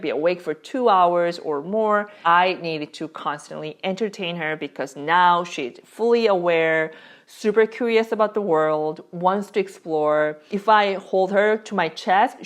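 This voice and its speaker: A woman in her thirties speaks English with a Korean accent, her first language being Korean. Her voice sits low for a woman. She talks in a chatty, candid way, with easy fillers and small restarts. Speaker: vlogger, new mother. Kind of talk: casual personal vlog.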